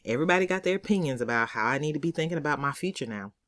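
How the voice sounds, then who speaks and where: A woman reading her own novel aloud as expressive first-person narration, in a conversational, sassy storytelling manner. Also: sits low, rapid-fire, dry recording, clean studio sound.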